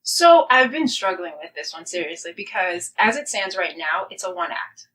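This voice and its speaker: Bright-voiced woman in earnest conversation. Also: lowish voice, animated, normal speed, distant mic, rough recording. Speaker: playwright guest. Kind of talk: conversational podcast interview.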